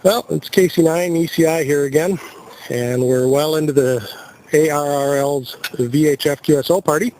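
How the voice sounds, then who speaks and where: A man's voice: fairly high pitch, moderate pace, a little mellow. An American man giving casual, playful video commentary as an amateur radio operator outdoors at a campsite. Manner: joking, playful. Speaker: amateur radio operator filming a camping trip. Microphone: handheld video camera. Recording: noisy outdoor camcorder audio.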